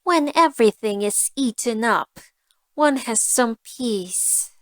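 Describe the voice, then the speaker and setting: A woman voicing a greedy, haughty female cat in a fairy tale, with a smug and deliberate manner. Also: a little high, animated, a bit bright, dry recording. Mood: smug, dismissive.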